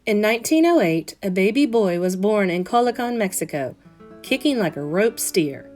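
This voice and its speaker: A woman, a teacher, reading a children's picture book aloud in an expressive, carefully phrased, dramatic manner. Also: medium pitch, normal speed. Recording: soft guitar music behind voice. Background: plucked guitar music.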